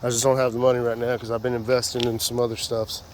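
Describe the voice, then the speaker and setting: A man's voice: mid-range voice, level tone, fast talker, heavy background noise. A man with a congested, stuffy voice, casually walking through his fishing gear outdoors in a rambling, folksy way. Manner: rambling, folksy, many fillers. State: congested from a sinus infection. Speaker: fishing vlogger.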